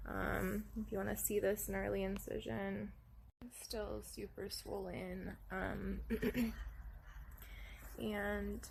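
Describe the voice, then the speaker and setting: A young woman at home giving a soft, halting personal update, her voice hoarse and raspy after surgery, with many pauses and frequent throat-clearing. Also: mid-range voice, flat delivery, a little hiss or hum. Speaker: vlogger sharing her recovery. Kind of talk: casual personal update to camera.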